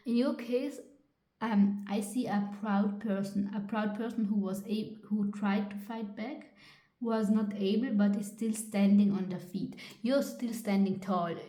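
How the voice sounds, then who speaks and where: A woman with a German accent, a native German speaker, giving a tarot reading in a halting way with many pauses and restarts. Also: medium pitch, dark tone, roomy sound. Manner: hesitant, halting with frequent ums and restarts. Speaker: tarot reader.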